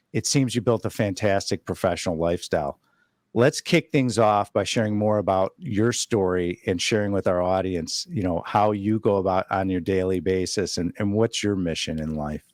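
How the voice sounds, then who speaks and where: A man's voice: low-pitched, moderate pace, darkish tone, no echo, clean studio sound. A man hosting a podcast interview, effusive and complimentary toward the guest. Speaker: podcast host. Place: podcast studio.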